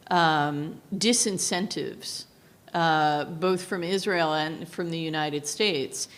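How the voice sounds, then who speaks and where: This is a woman with a somewhat low voice. She talks as an expert on a panel, offering analysis. Her phrasing is formal and measured, and she sets out each point with deliberation. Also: a bit bright. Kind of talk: spoken policy analysis.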